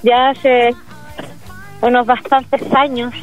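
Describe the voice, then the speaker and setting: A woman's voice: a little high, level tone, slow, faint music behind. Chilean woman on a tinny line. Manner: hesitant, many fillers and restarts. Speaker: solo musician, interview guest. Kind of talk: casual interview over a call. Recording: dry, tinny phone-like line.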